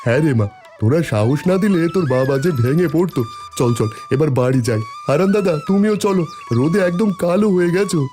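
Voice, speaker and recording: A man playing a cheerful, devoted village sidekick in a cartoon. His voice is high and mellow with a lively swing, and he sounds encouraging, over faint music. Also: normal speed, close to an everyday voice. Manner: animated, encouraging. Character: loyal, cheerful younger village man, farmer's devoted helper. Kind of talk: cartoon voice acting. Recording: soft music behind the voice.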